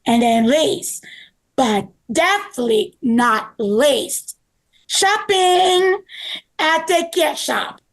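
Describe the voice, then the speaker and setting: A woman's voice: high-pitched, lively intonation, drawn-out words, full character voice, low-quality recording. A woman playing a friendly, cheerful tour guide friend in a table read of a children's story. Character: friendly, organised museum guide friend Tori.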